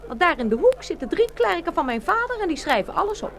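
A woman's voice: very high-pitched, very animated, fast talker, full character voice. A woman playing an impatient, imperious young princess in a fairy-tale audio play. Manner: imperious, dismissive. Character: haughty, impatient young princess choosing a suitor.

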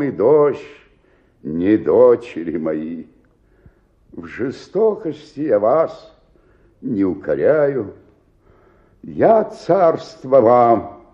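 An actor playing an older, self-pitying tragic stage actor in a radio play, declaiming theatrically and swinging from murmur to roar. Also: male voice, on the low side, lo-fi sound, full character voice. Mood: tragic, thundering.

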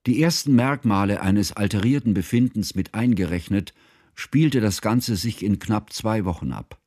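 An actor playing a grave, mournful narrator, reading literary prose in a solemn, articulate way for a radio drama. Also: male voice, fairly low pitch, normal speed, a little mellow, dry recording, clean studio sound, natural voice.

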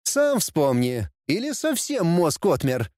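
A bratty young demon prince, pitched well up and very animated. He whines and taunts with gleeful insolence, a mocking son in a fantasy storybook. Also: takes it slowly, no room sound, clean studio sound. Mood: taunting.

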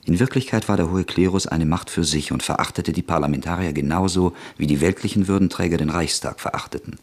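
A man narrating an old radio drama in a measured, sober tone. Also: sits low.

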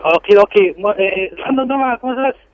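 A man's voice: sits high, normal speed. A man calling in to a radio show, eagerly asking his question. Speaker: caller. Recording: dull phone line.

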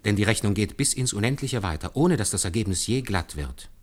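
A deferential, earnest man with a fairly low, highly expressive voice. He explains patiently and methodically, one careful step after another, in radio-play style. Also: a little fast. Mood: eager, explanatory. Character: earnest, deferential male calculator demonstrating hand arithmetic to superiors. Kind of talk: German radio play acting.

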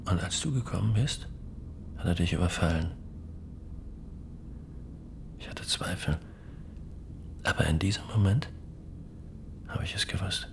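An actor playing a grim, proud farmer husband in a radio play, speaking in a hushed, gravelly voice, brooding and insistently justifying himself. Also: male voice, sits low, lively intonation, measured pace, long pauses, whispered, heavily acted. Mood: feverish certainty.

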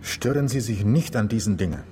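A man playing a polite, methodical Czech police officer in a radio drama, speaking halting German with a Czech accent. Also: on the low side, animated, mellow, slight background noise, close to an everyday voice. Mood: businesslike. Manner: halting foreigner's German, courteous. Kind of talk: naturalistic radio drama acting.

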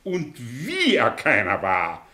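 Proud, tormented intellectual, swooping theatrical delivery. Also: male voice, medium pitch, wildly swooping pitch, sharp tone, slightly rough, full character voice. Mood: agitated, bitter. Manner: theatrical, declamatory, rhetorical pauses and repetitions. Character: proud, self-tormented, grandiose intellectual man confessing his past. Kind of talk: German radio play acting.

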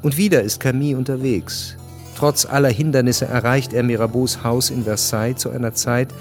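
Male narrator, mid-pitched, scripted literary storytelling, radio drama, music behind.

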